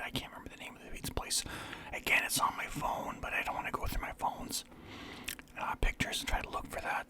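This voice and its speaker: A young man from Canada whispering through a casual, rambling list of favorites as a vlogger. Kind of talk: whispered ASMR list chat.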